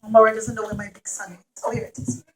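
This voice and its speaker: A woman's voice: mid-range voice, little pitch movement, fast. A woman telling a puppet-show story to young children, explaining simply and repeating phrases with dramatic emphasis.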